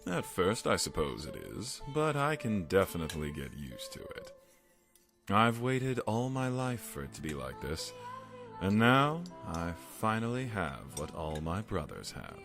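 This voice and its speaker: Man acting a low-voiced, suave, dangerous seducer. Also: huge pitch swings, measured pace, over music, exaggerated voice. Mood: tender, earnest. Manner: smooth-talking, affectionate endearments. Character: suave, charming, secretly cruel male seducer. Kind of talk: audio drama acting.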